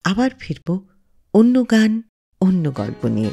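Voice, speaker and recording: A woman radio host narrates a scripted story. Her pitch moves in lively, wide swings as she tells it dramatically, over faint music. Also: a little slow, gravelly.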